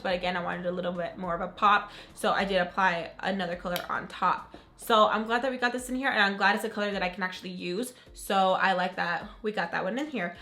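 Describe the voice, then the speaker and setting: Young woman kinda sick, raspy but bright voice, super hyped about makeup. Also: medium pitch. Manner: gushing, upbeat, says "freaking" a lot. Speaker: beauty vlogger. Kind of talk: casual unboxing chat to camera.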